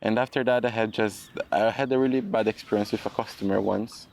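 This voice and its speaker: Young Brazilian man, second-language English, low gravelly voice, laid-back podcast chat, faint hiss. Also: a little fast. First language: Portuguese. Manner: relaxed, frequent "you know" asides. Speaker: guest. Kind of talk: casual podcast conversation.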